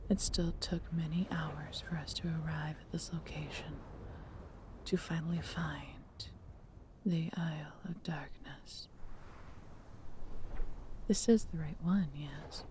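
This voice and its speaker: Woman playing a low, proud, doting dragoness, noisy recording. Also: warm tone, lightly acted. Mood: tender, hushed. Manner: theatrical, haughty yet tender, measured delivery. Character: proud, doting female dragon, adventurous and protective. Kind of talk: fantasy audio roleplay addressed to listener.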